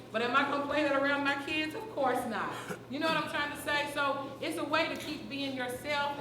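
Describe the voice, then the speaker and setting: A woman's voice: sits high, roomy sound, background noise. A woman who is a teacher, speaking earnestly and at length in a group discussion, with fillers as she explains. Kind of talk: open discussion at a community event.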